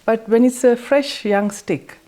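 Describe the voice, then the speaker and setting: A woman's voice: fairly high pitch, a little slow, dark tone. A middle-aged woman from Sri Lanka who is a teacher and school owner, talking plainly and reflectively about her life, with frequent small hesitations. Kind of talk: interview, recounting her life.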